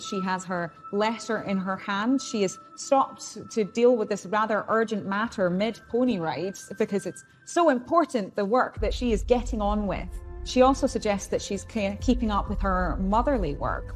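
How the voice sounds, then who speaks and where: A woman's voice: medium pitch, normal speed, hardly any pauses, faint music behind. A woman giving explanatory commentary as an interviewee in a history documentary.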